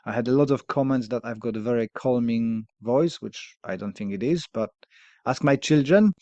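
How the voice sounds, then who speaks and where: A man from Poland hosts a podcast in English, his second language, with a clear Polish accent. He speaks in a mid-range voice, hesitant and thoughtful, and often stops for fillers and a quiet laugh. The sound is dry and somewhat dull, like a lo-fi podcast recording. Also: mid-range voice, normal speed, no room sound. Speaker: podcast host. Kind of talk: candid casual conversation.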